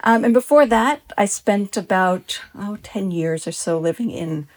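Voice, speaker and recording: A woman's voice: mid-range voice, measured pace. A woman speaking as a podcast guest, upbeat in a casual chat with frequent ums. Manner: upbeat, warm about her topic, with frequent ums.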